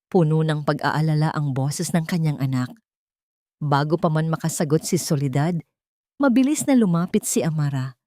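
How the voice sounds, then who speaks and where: A woman's voice: low-pitched, lively intonation, normal speed, dark tone, no echo, studio quality. A woman narrator reading a dramatic scripted audio story.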